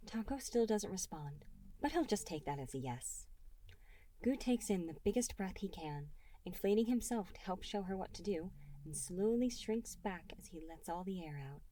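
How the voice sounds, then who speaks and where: A woman reading a fan fiction story aloud as an audiobook narrator, in an expressive storytelling manner. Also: mid-range voice, normal speed. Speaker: reader and writer of the story.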